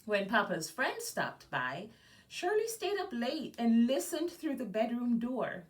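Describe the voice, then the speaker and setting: A woman reading a children's picture book aloud, phrasing deliberately and stressing key words. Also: on the high side, sing-song, recorded from a distance. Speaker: guest storybook reader.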